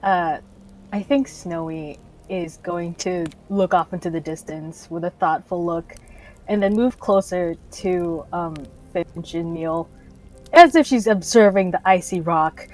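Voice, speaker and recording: A woman's voice: fairly low pitch, unhurried, soft background music, low-quality recording. A woman playing a tabletop game, casually narrating and speaking as her character. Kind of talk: casual in-character role-play.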